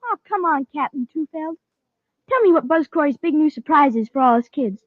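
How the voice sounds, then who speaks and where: Casting: excitable child fan, extremely high voice, thin vintage radio commercial sound. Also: female voice, fairly quick, no room sound. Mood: pleading. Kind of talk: 1950s radio advertising dialogue. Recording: tinny old radio recording.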